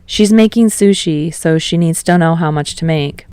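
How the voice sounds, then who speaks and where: A woman's voice: lowish voice, moderate pace, a little mellow, smooth, no echo, studio quality, natural voice. A woman voicing a cheerful, easygoing, enthusiastic teenage boy in an audiobook. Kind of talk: audiobook character voice.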